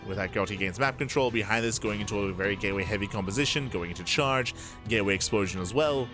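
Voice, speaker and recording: Man, fairly low pitch, live game commentary, explanatory, repetitive emphasis, prominent background music. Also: fast talker. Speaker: esports commentator. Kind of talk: live StarCraft match commentary.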